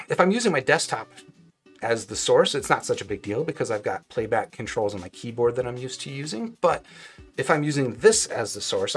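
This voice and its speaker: An American man in his forties giving a casual product review, conversational and thinking aloud as he goes. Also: mid-range voice. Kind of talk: casual tech review talk. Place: small home room, indoors.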